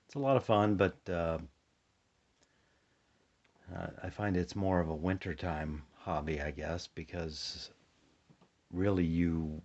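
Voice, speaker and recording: A slightly muffled home recording of an American man with a very deep voice. He sounds a bit sleepy and husky, talking in a casual, unhurried way as he thinks aloud through a demonstration. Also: fairly even intonation, darkish tone. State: a little sleepy. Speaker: hobbyist demonstrating a shortwave radio. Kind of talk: casual hands-on demonstration chat. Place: indoors at a tabletop, close to the camera.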